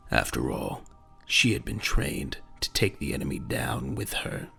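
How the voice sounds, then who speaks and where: A man with a gravelly voice narrating a dramatic audio drama in a grave, measured storytelling manner. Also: sing-song, sharp tone. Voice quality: very deep, gravelly. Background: faint music.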